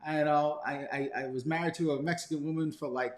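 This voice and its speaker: A man's voice: fairly high pitch, steady tone, quick pace. A middle-aged man, chatting casually as the outspoken, jokey host of a live Q&A. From New York. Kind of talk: casual livestream chat. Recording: echoey room sound.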